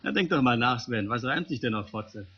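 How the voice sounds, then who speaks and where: Easygoing, animated joking dad, played by an actor. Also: male voice, medium pitch, fairly quick, dull audio, a little hiss or hum, natural voice. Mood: relaxed, explaining. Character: easygoing, joking father of a young boy. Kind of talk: scripted radio play dialogue.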